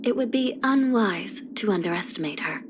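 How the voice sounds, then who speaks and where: A woman's voice: medium pitch, animated, background noise, natural voice. A woman as a weary, solemn young female judge. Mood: solemn, reflective. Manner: measured, grave, formal. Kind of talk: scripted video game character voice lines. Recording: music under the voice. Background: background music.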